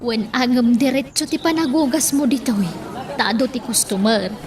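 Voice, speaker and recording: Practical lady actress, highish voice, radio drama, crowd chatter behind her. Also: expressive, moderate pace, natural voice. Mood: matter-of-fact.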